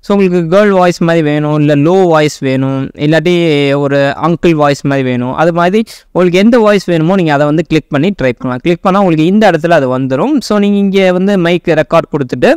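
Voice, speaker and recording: A young man with an Indian Tamil accent narrates a software tutorial in a high, smooth voice. He moves along quickly, in an informal explainer style peppered with English words. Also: dry recording, clean studio sound. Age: young adult. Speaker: tech review YouTuber.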